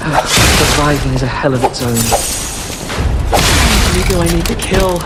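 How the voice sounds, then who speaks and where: A man's voice: on the high side, animated, moderate pace, loud music behind the voice, natural voice. A man playing a deranged, grieving avenger in a video game, muttering and repeating himself in a haunted way. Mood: anguished, guilty. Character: deranged grieving avenger, obsessed with killing puppets. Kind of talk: video game character acting. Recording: loud mechanical background noise.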